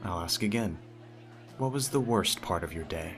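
A man's voice: deep voice, expressive, normal speed, soft background music. A man playing a teasing, smitten teenage childhood friend in an ASMR audio roleplay, talking straight to the listener in a conversational way. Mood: casual, teasing.

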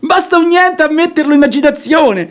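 High-voiced, gushing, credulous nobleman. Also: expressive, moderate pace. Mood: gushing admiration. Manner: fervent, gushing, theatrical. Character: credulous wealthy nobleman, devoted admirer of a pious guest. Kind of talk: classical stage comedy radio acting.

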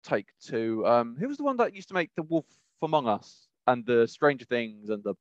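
A man co-hosting a podcast, casually discussing news in a halting, rambling way and often rephrasing mid-sentence. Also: medium pitch, very animated, dull audio. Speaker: podcast co-host.

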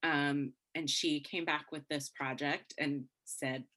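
A woman working in theatre answers interview questions reflectively, in a deliberate, halting way with pauses mid-phrase. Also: low voice, fairly even intonation, pushed and bright. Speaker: theatre artist being interviewed.

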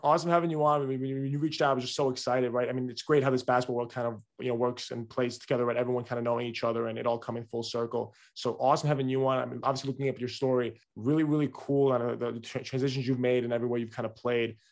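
A man hosts a casual podcast interview at a very rapid clip. He asks eager, rambling questions packed with fillers. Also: medium pitch, level tone. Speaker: podcast host.

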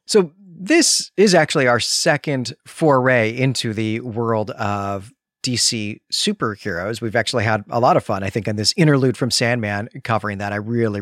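A man with a mid-pitched voice co-hosts a podcast in a casual, conversational way. He is articulate and enthusiastic, and the sound is dry, with very little room in it. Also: fairly bright tone, no room sound. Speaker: podcast co-host. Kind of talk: casual book-club style discussion.

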